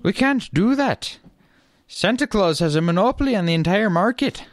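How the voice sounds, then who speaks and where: A man's voice: high-pitched, lively intonation, moderate pace, dry recording, voice pushed up. A man voicing an earnest, scheming leprechaun who argues eagerly in a comic radio play. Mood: argumentative. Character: earnest, scheming male leprechaun council member.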